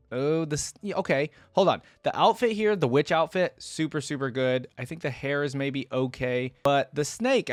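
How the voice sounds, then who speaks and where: A man's voice: mid-range voice, no echo. Casual male reactor with a dry, close sound. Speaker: reaction video host. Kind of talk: casual reaction commentary, partly reading aloud from a wiki.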